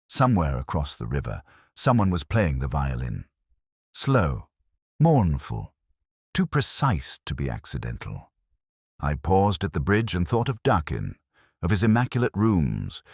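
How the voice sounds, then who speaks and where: A man with an extremely low voice narrates as a melancholy, cerebral police inspector. His delivery is measured and literary, with expressive rises and falls in pitch. The recording is dry and close, suited to a brooding first-person detective story. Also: no echo.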